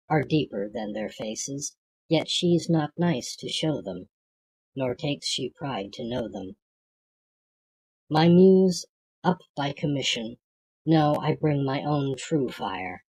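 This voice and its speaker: An audiobook reader reciting poetry from a script in a measured, declamatory way, with a husky, gravelly voice. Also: mid-range voice, darkish tone, low-quality recording.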